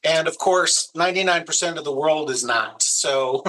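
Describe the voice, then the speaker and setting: A man with a high, bright voice chats casually about working on camera, handing out practical tips at an easygoing pace. He sounds like a video producer at ease with his guest. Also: moderate pace, low-quality recording. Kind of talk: casual advice conversation.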